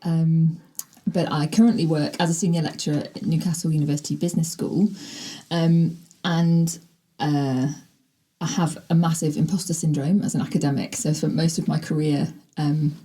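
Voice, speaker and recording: A woman in her forties, a guest in a podcast studio, talking in a halting way with many filler sounds. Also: low voice, fairly even intonation, mellow, rough recording. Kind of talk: casual interview.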